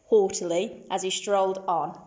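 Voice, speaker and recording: A woman's voice: medium pitch, steady tone, normal speed, a little mellow, lo-fi sound. A woman teacher narrating a children's storybook aloud.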